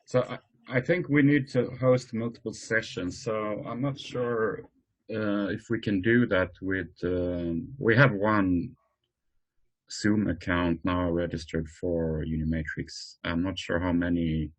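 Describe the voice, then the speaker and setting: Low-voiced man, halting and hesitant. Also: fairly even intonation. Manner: hesitant, halting delivery with many pauses. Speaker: meeting host presenting tools. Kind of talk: informal work meeting presentation. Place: online conference call.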